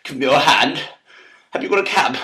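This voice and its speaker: A man's voice: medium pitch, animated, moderate pace, pushed and bright, low-quality recording. A man playing a wretched, muddled opium addict in an audiobook drama, stammering, whining and repeating himself. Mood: ashamed, pleading.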